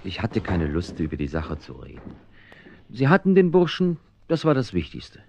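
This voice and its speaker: An actor playing a resolute, caring man who narrates his own adventure in a radio play, expressive and conversational. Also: low-pitched, moderate pace, vocal fry, highs cut off, a little hiss or hum, heavily acted. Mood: narrating. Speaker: narrator and protagonist.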